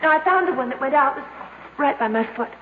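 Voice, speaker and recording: High, pleading woman in reverb. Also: expressive, fast. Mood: tender, subdued. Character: frightened, pleading woman lover trapped in a dark cave. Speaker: actress in a radio drama. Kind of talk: 1970s radio drama acting. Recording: tinny old radio with cavernous reverb, hiss.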